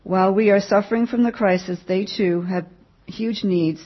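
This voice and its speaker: Woman, mid-pitched voice, measured read-aloud delivery, church update speaker, muffled low-fidelity recording. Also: fairly even intonation, slightly rough. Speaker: church member giving a mission update. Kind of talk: reading a written update aloud to a congregation.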